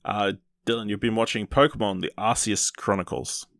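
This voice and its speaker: A man's voice: fairly low pitch, steady tone, moderate pace, vocal fry, fairly bright tone, no room sound, clean studio sound. A man hosting a podcast, casually introducing each segment and handing over to his co-host.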